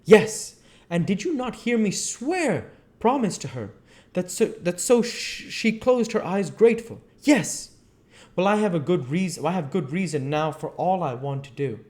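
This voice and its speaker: Guy reading, putting on an old fatherly professor, earnest, pleading, a bit higher and louder. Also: big pitch swings, measured pace.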